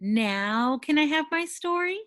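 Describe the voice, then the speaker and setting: A woman's voice: high-pitched, lively intonation, unhurried, a bit bright, pitched above the performer's own voice. A woman playing an enormous male dragon in a children's storybook, grumpy yet pleading and childishly eager. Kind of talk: children's storybook read-aloud.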